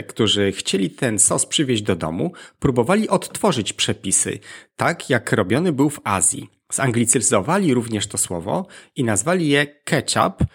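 A man narrates a scripted educational podcast as its host. His voice is mid-pitched and husky, with a fair amount of creak in it. He explains things directly to the listener. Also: fairly bright tone.

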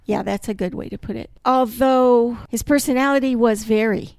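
A middle-aged woman from Colombia, Spanish her first language, telling her life story as a podcast guest in an earnest, animated way. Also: a little high, moderate pace, vocal fry, clean tone, no echo. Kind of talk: personal testimony in an interview.

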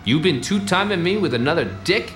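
A man's voice: fairly high pitch, lively intonation, brisk, fairly bright tone. An actor playing a dismissive, hard-boiled male private detective in a noir parody podcast, recorded with echo. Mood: dry, dismissive. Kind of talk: noir parody audio drama acting. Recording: echoey, faint background noise.

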